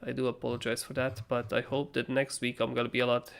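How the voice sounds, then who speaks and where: A young man with a hoarse, raspy voice, casually talking through trading charts as a livestream host, rambling and swearing freely. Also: mid-range voice, flat delivery, quick pace. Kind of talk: casual live chart analysis.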